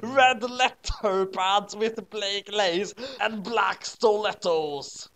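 A man's voice: sits high, very slow, a bit bright. A man narrating fan fiction as a comedic dramatic reading, with a mock-serious manner. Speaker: story narrator.

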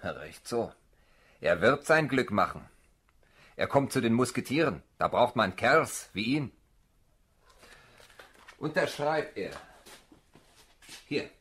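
An actor playing a brusque, condescending aristocratic officer in a German radio drama, with a husky, commanding voice. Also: male voice, mid-range voice, animated, slow, pauses often, a bit bright, full character voice. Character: brusque aristocratic army officer recruiting soldiers.

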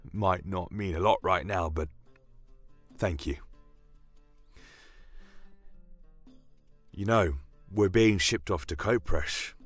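A man's voice: deep voice, a bit bright, dry recording. A man voicing a blunt, joking soldier with a colloquial, matey manner in a fantasy audio story. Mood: wry, grateful.

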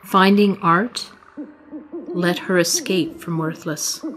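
Slow, low-voiced woman narrating. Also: fairly even intonation, a crowd in the background. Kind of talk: scripted story narration for a short film.

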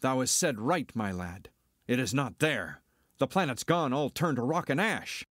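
An actor playing a cocky, skeptical smuggler pilot, declaiming theatrically in a Shakespearean dramatic reading. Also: male voice, medium pitch, very animated, unhurried, a bit bright, dry recording, clean studio sound. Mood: alarmed, bewildered.

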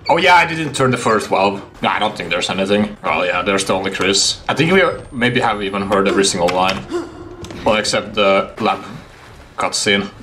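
A man's voice: on the low side, normal speed. A man in his twenties giving casual, exclamatory live gameplay commentary and talking to his chat. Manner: reactive, exclamatory, talks to chat. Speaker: game streamer. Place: small home room, indoors. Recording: clear background game noise.

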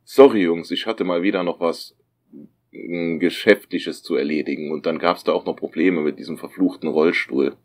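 A man playing a shifty, grumbling driver in a parody detective audio drama, halting and muttering. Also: sits low, darkish tone, close to an everyday voice. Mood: grudging. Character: grumbling male getaway driver, apologetic and shifty.

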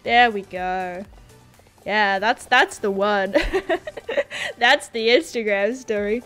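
A woman's voice: mid-range voice, slow pace, a bit bright, smooth, soft background music. A young woman streaming a horse game, chatting casually from home on a headset and reading viewer messages aloud. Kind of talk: casual livestream chat. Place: home room at a gaming setup.

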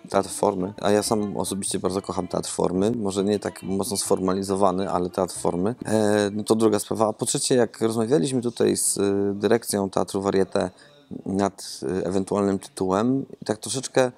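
A man's voice: fairly low pitch, steady tone, normal speed, creaky, a little mellow. A man in his thirties, a theatre director, giving rambling, thoughtful interview answers with many hesitations and repeated words, seated in an empty theatre auditorium and speaking into a lapel mic.

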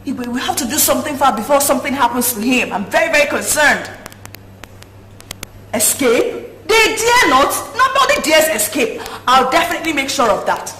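An actress with a Nigerian accent playing a haughty, hot-tempered princess in a film melodrama, scolding and imperious. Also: female voice, high voice, fast talker, few pauses, fairly bright tone. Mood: urgent, alarmed. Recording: echoey room, noisy location sound.

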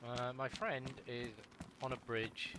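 A man's voice: medium pitch, flat delivery, slow. A man from Wales with a Welsh accent, chatting casually while playing an online video game. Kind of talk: casual chat during online gameplay. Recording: clear background noise and game sounds.

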